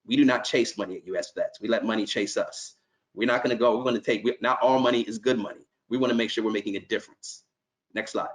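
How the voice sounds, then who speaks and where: A man's voice: on the low side, fairly even intonation, fast talker. A middle-aged man from New York, a nonprofit executive, gives earnest, conversational remarks to a conference call and often restarts his sentences.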